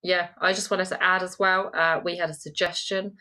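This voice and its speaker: A woman's voice: fairly low pitch, flat delivery, normal speed, bright, forceful tone. A middle-aged woman co-hosting a casual commentary show, making her points with passionate emphasis. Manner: emphatic, impassioned ranting. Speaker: co-host. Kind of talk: casual social media commentary.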